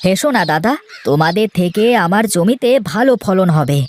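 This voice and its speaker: Woman as earnest low-voiced farm boy. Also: expressive, moderate pace, darkish tone, natural voice. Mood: hopeful. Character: blind young farm boy, earnest and trusting. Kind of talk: children's cartoon dialogue. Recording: faint noise with bird chirps.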